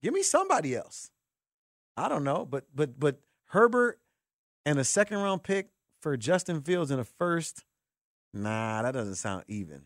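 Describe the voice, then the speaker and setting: An American man hosting sports talk radio, talking with callers in an informal, animated, conversational way. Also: a little high, measured pace, no echo, studio quality. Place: radio studio. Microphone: close broadcast microphone.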